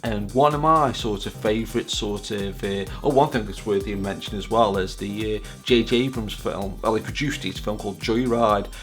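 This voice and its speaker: A man's voice: on the low side, normal speed, few pauses, a bit bright, faint music behind. A British man in his forties chatting casually in a rambling, self-deprecating way, like a vlog host reminiscing.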